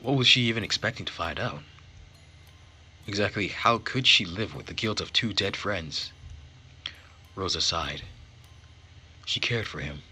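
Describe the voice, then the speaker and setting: Low, very bright-voiced man narrating. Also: a little slow, pauses often, a little hiss or hum. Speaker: narrator of a visual novel playthrough. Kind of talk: dramatic narration read aloud.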